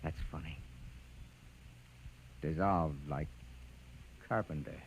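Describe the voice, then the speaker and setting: An actor playing a doomed, weary man in a 1950s radio drama, halting and dramatic in his speech. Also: extremely low pitch, full character voice. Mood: grim, resigned. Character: doomed, weary man facing humanity's end. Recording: noisy old radio recording.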